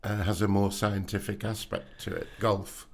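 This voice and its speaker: A man in his seventies from England, a podcast guest telling stories in an unhurried way with dry wit. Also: fairly low pitch, flat delivery, creaky. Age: 70s. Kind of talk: casual interview chat.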